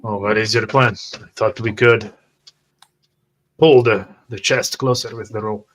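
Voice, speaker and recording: A man's voice: lowish voice, steady tone, measured pace, fairly bright tone. A man playing a tabletop roleplaying game, describing his character's actions in a hesitant, thinking-aloud way. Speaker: player.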